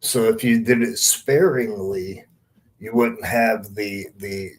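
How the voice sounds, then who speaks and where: A man in casual podcast chat, explaining things in a halting way, repeating and rephrasing as he comments on a match. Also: lowish voice, fairly even intonation. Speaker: podcast co-host, former wrestler.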